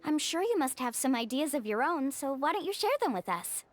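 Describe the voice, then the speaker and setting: A bright, upbeat young tribe guide. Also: female voice, high-pitched, sing-song, brisk. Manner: cheerful, encouraging, takes the lead. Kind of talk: game voice acting. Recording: game audio with faint music.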